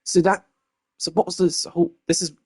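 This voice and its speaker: A man's voice: high voice, little pitch movement, fairly quick, a little mellow, husky, no room sound. A man reacting casually while live streaming a game. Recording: music behind the voice.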